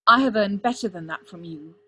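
A woman's voice: medium pitch, fairly quick, sharp tone, husky. Casting: female reader as a headstrong young prince, angry and commanding, snapping his lines in a fantasy audiobook. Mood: angry, wounded. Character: proud, bitter young crown prince, stubborn and angry.

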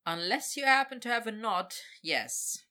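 A storyteller voices a weary human captive in an audiobook. He is dry and deadpan, stubbornly firm, with a bright, forceful edge at a middle pitch. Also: a little slow. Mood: dry, matter-of-fact.

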